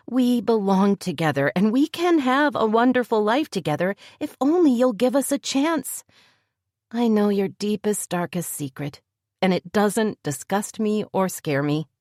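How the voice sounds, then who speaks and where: A woman's voice: on the high side, a little mellow, dry recording, clean studio sound, voice pushed up. A woman voicing an earnest young woman declaring her love, with a tender, pleading delivery.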